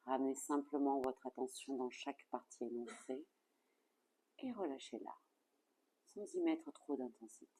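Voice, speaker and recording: A yoga nidra instructor leading a guided relaxation in a calm, soothing, measured way with soft phrase endings. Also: medium pitch.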